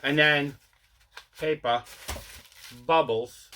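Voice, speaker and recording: Bright-voiced man, casual host. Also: fairly high pitch, steady tone, very slow, a little hiss or hum. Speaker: video host showing mail and movie collection. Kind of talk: casual unboxing chat.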